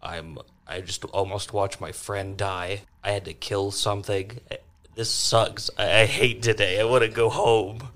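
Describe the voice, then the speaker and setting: A man playing a tabletop role-playing game, chatting casually in a halting way, with noise in the background. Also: lowish voice, fairly even intonation, fairly bright tone. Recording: constant clear background noise.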